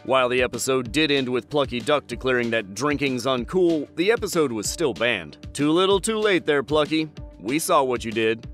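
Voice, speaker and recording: A man's voice: mid-range voice, lively intonation, moderate pace, a bit bright, soft background music. A man reading a scripted countdown list with wry, dry asides. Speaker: narrator.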